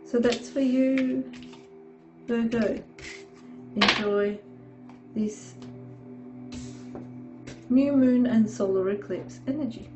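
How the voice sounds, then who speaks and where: A woman giving a tarot reading, hoarse from a sore throat, talking haltingly with many fillers and frequent "okay"s. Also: on the high side, over music, poor audio. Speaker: tarot reader.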